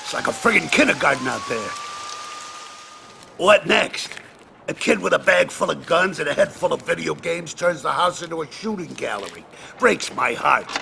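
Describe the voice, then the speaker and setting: An actor playing a gruff, blunt male police boss in a noir game, gravelly and scolding in his exasperation. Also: on the high side, expressive, moderate pace, noisy background. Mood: weary, exasperated.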